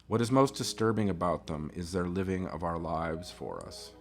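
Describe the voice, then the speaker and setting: Male narrator, lowish register, measured and emphatic educational reading, light music underneath. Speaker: reader of a philosophy text. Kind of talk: educational audiobook-style reading of an essay.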